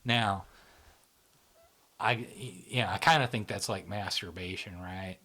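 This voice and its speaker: A man hosting a podcast, explaining ideas casually and haltingly, often checking in with his listener. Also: sits low, sharp tone. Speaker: podcast host.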